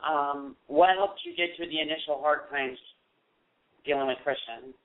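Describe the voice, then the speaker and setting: A man's voice: fairly high pitch, fairly even intonation, normal speed. A man co-hosting a radio show, asking questions hesitantly and rephrasing them with many ums. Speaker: radio co-host. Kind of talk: call-in radio interview. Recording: phone-like lo-fi sound.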